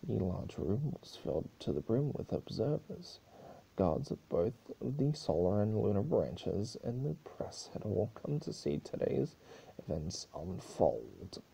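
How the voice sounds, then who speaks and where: Man, dramatic story reading, husky and creaky, lively intonation, lowish pitch. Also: unhurried, low-quality recording. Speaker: narrator reading fan fiction aloud.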